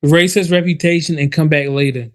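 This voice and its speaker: A man co-hosting a podcast, chatting in a relaxed, slangy way with plenty of "like". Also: a little high, a little fast. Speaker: podcast co-host. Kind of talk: casual chat.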